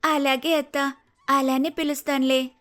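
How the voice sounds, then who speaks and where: A woman voicing a cheerful, respectful young woman in an animated moral story. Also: high voice, a little slow. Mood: friendly, reassuring. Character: brave, cheerful, respectful young woman, a helpful house guest.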